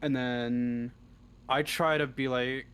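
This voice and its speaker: A man's voice: medium pitch, fairly even intonation, takes it slowly, a bit bright, slight background noise. A man giving casual, unscripted answers as an interview guest, talking freely and swearing often. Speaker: interview guest, audio roleplay script writer.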